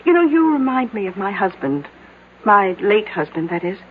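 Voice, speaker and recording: An American woman playing a sardonic, knowing small-town widow in a radio drama, dry and deliberate in manner. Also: mid-range voice, sing-song, natural voice. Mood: sarcastic. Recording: tinny, hissy old radio broadcast.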